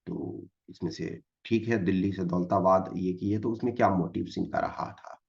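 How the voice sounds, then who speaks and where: Indian male exam tutor, informal and explanatory, creaky voice, mid pitch, on a dull lo-fi mic. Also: fairly even intonation, darkish tone, a little hiss or hum. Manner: informal, mixes Hindi with English terms, reads questions aloud slowly. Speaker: exam coaching teacher. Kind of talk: explanatory lecture working through exam questions. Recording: dull, lo-fi microphone sound.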